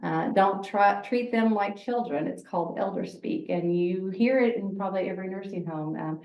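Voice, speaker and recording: Loud, chatty woman teaching in an echoey room. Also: normal speed. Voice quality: lower voice. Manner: loud, chatty, laughs often. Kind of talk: informal workshop talk. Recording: echoey room, distant mic, dull sound.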